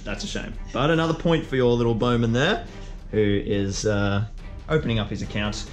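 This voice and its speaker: A man narrates his own tabletop wargame turn by turn in a casual, mid-pitched voice. He is jokey and self-mocking, exclaiming at the dice, with music playing behind him. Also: normal speed. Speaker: player and host of a tabletop wargame video. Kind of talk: casual play-by-play game commentary.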